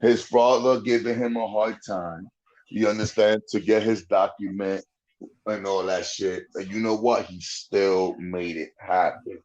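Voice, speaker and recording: A man's voice: fairly low pitch, steady tone, a little slow, a little mellow, dull audio. A man in his forties, from the Dominican Republic, talking bluntly and profanely in casual chat, often tagging on "you know what I'm saying". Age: 40s. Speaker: podcast host.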